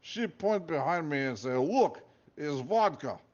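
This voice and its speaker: Man putting on a blustering Russian guard, heavy accent, broken English, voice swooping high and low, dull lo-fi sound. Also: lively intonation, a little slow. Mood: indignant. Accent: put-on heavy Russian accent. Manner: broken English, boastful, theatrical. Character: gruff male Russian prison guard, pompous.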